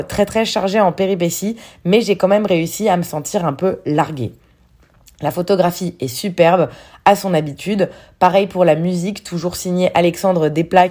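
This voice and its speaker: Low-voiced woman reviewing films. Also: a little mellow. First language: French. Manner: opinionated, with filler hesitations. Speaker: film reviewer. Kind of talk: casual film review.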